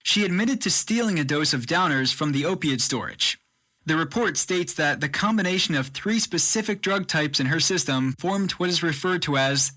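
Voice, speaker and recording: A man's voice: fairly high pitch, sharp tone. An actor playing a male news journalist, giving a serious scripted broadcast report in an audio drama.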